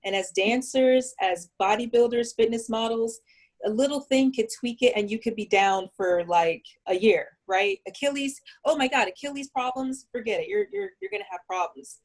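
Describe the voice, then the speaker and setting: Firm-spoken woman panelist, fairly high. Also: expressive, normal speed. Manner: firm, emphatic opinions. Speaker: panelist, bodybuilder and dancer. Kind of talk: casual panel discussion.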